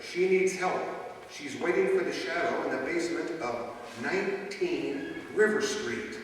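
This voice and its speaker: A man playing a calm, shrewd gentleman detective in an old-time radio mystery, speaking in a measured, formal way. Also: a little high, close to an everyday voice. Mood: watchful. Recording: echoey hall from far off, faint noise.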